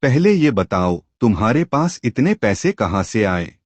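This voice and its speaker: A man's voice: on the low side, sing-song, a little slow, darkish tone, no echo. A man playing a sceptical, plain-spoken village mason in a folk tale, questioning and grudgingly agreeable.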